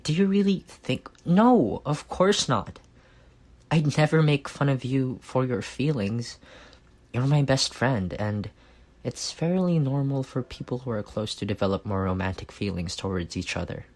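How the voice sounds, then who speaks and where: A man acting a reserved, awkward and earnest best friend in an intimate roleplay, speaking softly and haltingly. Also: mid-range voice, lively intonation. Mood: worried, pleading. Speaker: voice actor.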